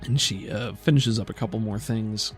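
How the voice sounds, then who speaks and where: A man's voice: lowish voice, moderate pace, soft background music. A man narrating an improvised tabletop game as game master, in conversational, hesitant phrasing.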